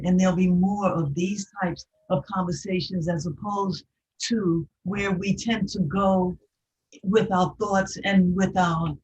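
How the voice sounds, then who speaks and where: Low-voiced woman, distant and dull-sounding. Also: a little mellow, slight background noise. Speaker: host of a poetry platform. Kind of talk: earnest unscripted remarks.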